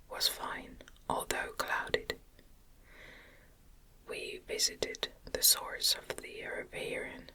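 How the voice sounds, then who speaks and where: Slow, breathy whispering woman narrator. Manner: gentle, unhurried, deliberate phrasing. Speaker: audiobook narrator. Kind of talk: whispered ASMR novel reading. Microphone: close microphone.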